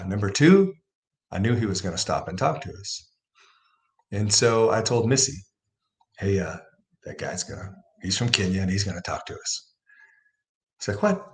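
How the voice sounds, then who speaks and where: A man hosting a livestream, talking casually about faith and telling a personal story with rising emphasis. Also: on the low side. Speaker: livestream host.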